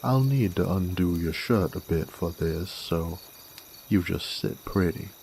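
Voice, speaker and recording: Deep, slow-drawling Southern cowboy rogue, acted by a man. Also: darkish tone, slight background noise. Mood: smug, taunting. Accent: American Southern. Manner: drawling, folksy, teasing. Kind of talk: scripted roleplay audio drama.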